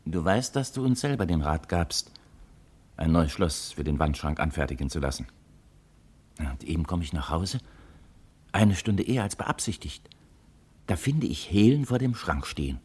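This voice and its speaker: An earnest husband confides in a low, husky voice. His delivery is polite and sincere, in the manner of a mid-century German radio crime play. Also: darkish tone, exaggerated voice.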